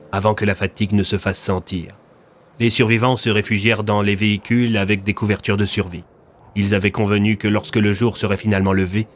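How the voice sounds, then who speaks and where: A man narrating a scripted audio fiction as a storyteller. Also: lowish voice. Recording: music under the voice.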